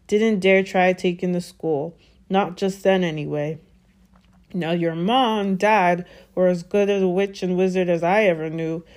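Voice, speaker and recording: A woman voicing a gruff but kindly giant man in a storybook, blunt and uneasy, with folksy clipped words. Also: lowish voice, warm tone, close to an everyday voice. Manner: folksy, dropped-consonant dialect speech. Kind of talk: storybook character voice.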